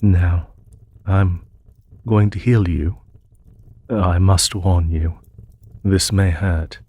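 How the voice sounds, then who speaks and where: A man playing a courteous, solemn demon emperor in a fantasy audio roleplay, speaking gently and formally with a husky voice to reassure the listener. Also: deep voice, steady tone, full character voice. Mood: steady reassurance. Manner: formal, measured, reassuring, polite phrasing.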